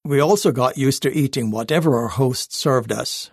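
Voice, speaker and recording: A man reading a first-person life story aloud in a measured, earnest scripted narration. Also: mid-range voice, darkish tone, dry recording, clean studio sound. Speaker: reader of a magazine life story.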